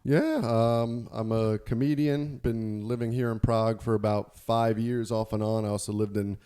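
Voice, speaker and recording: Laid-back comedian from New Jersey. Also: male voice, on the low side, steady tone, normal speed, mellow, smooth. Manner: laid-back, dry jokes. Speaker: comedian and guest. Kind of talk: casual chat.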